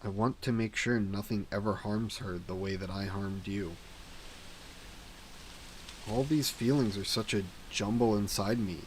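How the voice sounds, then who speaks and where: An actor playing a grieving ancient vampire in an audio drama, speaking in a regretful, halting, introspective way. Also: male voice, a little hiss or hum. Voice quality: low, slow and brooding.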